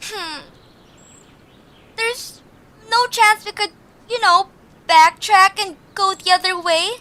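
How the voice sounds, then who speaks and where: A woman's voice: extremely high pitch, takes it slowly, pushed and bright, heavily acted. A woman voicing an anxious, kindhearted young girl adventurer in an audio drama, earnest and hesitant in her delivery. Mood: anxious, pleading. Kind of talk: animated audio drama acting.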